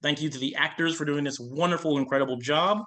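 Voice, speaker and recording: A man's voice: a little high, fast, pushed and bright. A man speaking as host in casual, chatty closing remarks, thanking people informally. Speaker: host thanking cast and audience.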